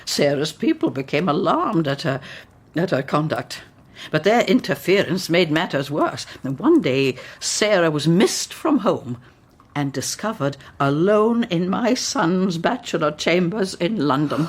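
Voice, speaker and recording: A woman's voice: low-pitched, very animated, a little slow, slightly rough, heavily acted. An actress playing a proud, blunt older Victorian mother in a period radio drama, speaking in an emphatic, formal way. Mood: grave, narrating. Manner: emphatic, formal, pronouncing judgements.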